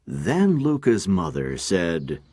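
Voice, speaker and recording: A man with an American accent and a deep, creaky voice, narrating a scripted storybook for learners. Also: wildly swooping pitch, slow, darkish tone, a little hiss or hum.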